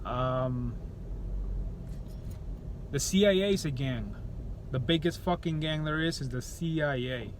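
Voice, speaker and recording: A man's voice: a little high, measured pace, long pauses, a little hiss or hum. A man from El Salvador talking straight to camera in an opinionated vlog, blunt and profane, often restarting his sentences. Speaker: YouTuber.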